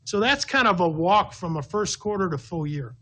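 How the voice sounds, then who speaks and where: A man's voice: sits high, animated, moderate pace, fairly bright tone. A man speaking as a finance executive on an earnings call, laying out numbered points in an emphatic, methodical way. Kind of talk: business briefing, answering an analyst with numbered points.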